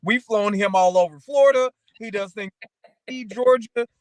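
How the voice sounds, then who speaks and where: A man with a notably high-pitched voice talks in a casual, motivational way. He rambles through anecdotes and keeps checking that the listener follows. The sound is dry and close, with very little room. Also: moderate pace, no room sound. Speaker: talk show co-host.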